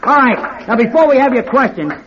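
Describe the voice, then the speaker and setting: An actor playing a brash, showy, smooth-talking nightclub owner in a radio drama. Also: male voice, very high voice, lively intonation, brisk, fairly bright tone. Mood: showy, hyping. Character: brash, hustling nightclub owner and showman, adult man. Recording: dull radio recording with crowd noise.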